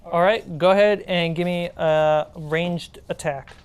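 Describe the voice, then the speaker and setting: A woman's voice: lowish voice, fairly even intonation, unhurried, clear, resonant tone. A woman narrating a tabletop role-playing game as game master.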